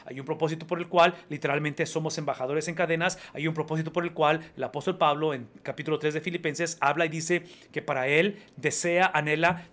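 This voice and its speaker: A man's voice: on the high side, fairly bright tone. A man preaching a sermon in Spanish, emphatic and repetitive, often checking in with his listeners. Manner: emphatic, repeats phrases, asks the congregation "¿verdad?". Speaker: pastor.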